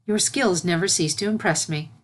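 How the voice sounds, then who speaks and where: A woman voicing a regal pony princess in a storybook reading, a gentle, patient mentor with a measured, formal way of speaking. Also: lowish voice, close to an everyday voice. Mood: warm, pleased.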